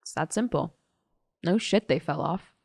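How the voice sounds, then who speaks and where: Blunt, fed-up teenage girl played by a woman, lowish voice. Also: lively intonation, measured pace, creaky, no room sound, clean studio sound, full character voice. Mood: blunt, scornful. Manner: blunt, dismissive, sweary. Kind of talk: audio drama monologue, police interview testimony.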